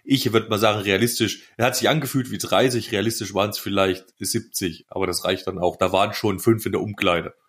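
A man's voice: fairly low pitch, fairly even intonation, fairly quick, few pauses, a bit bright, breathy edge. A man co-hosting a casual music podcast, explaining things in colloquial language. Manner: colloquial, explanatory, with tag questions. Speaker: podcast co-host.

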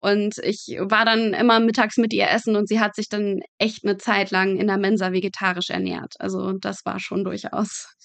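A woman's voice: mid-range voice, little pitch movement, moderate pace, vocal fry, no echo. A young woman speaking as an interview guest, chatting and explaining things in a casual storytelling way. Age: young adult. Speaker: interview guest, medical student.

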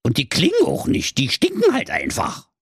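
A man doing a squeaky grumpy evil dwarf. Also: wildly swooping pitch, normal speed, a bit bright, slightly rough, no echo, studio quality, heavily acted. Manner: Berlin slang, grumbling, high squeaky. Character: grumpy sly evil gas-station dwarf.

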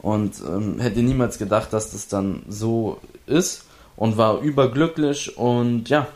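A man's voice: fairly low pitch, slow, darkish tone. A young man from Berlin, an esports player, tells his story in a casual interview, rambling with many restarts and acting out what others said.

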